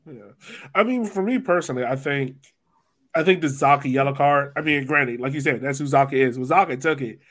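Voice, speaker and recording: A man's voice: medium pitch, brisk, a bit bright. A man hosting a casual, conversational sports discussion as a podcast host.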